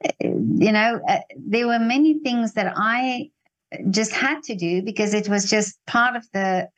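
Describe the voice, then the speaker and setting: A woman in her sixties with a South African accent, talking reflectively and haltingly as she searches for her phrasing. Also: mid-range voice. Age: 60s. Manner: hesitant, searching phrasing with repeats. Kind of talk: reflective casual conversation.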